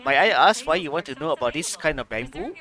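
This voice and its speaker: A man's voice: fairly high pitch, expressive, fast talker, bright, forceful tone. A man reading out a friendly shopkeeper's lines in a welcoming, salesman-like way.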